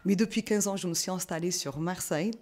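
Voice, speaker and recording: A woman's voice: fairly low pitch, quick pace, a little mellow. Chatty woman physiotherapist guest. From France. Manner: chatty, many fillers and restarts, laughs easily. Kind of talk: casual interview conversation.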